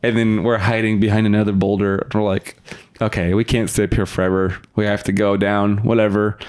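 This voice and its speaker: A man's voice: on the low side, little pitch movement, a little fast, a little mellow, smooth. A man whose first language is Russian, chatting informally as a podcast guest, telling stories and often chuckling as he talks. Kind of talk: casual storytelling in conversation.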